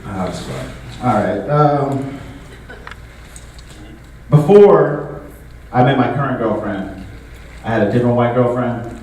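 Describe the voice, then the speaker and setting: A young man performing a stand-up comedy set in a relaxed, conversational way, full of filler words. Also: mid-range voice, unhurried, long pauses. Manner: laid-back, conversational, frequent filler words. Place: comedy show venue with a live audience. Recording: echoey room, distant mic, audible noise.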